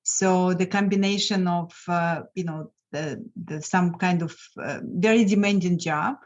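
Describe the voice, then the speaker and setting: A mature woman speaks as an interview guest. Her voice sits a little low and she talks haltingly, with many hesitations. The audio is slightly dull and lo-fi. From Lithuania. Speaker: interview guest, financial mentor. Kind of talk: conversational interview.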